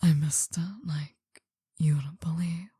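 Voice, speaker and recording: A woman's voice: low voice, flat delivery, measured pace, dry recording, clean studio sound, exaggerated voice. A woman playing a possessive, world-weary older mafia boss in an intimate whispered ASMR roleplay, tender and confiding. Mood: needy, tender. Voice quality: breathy, soft whisper.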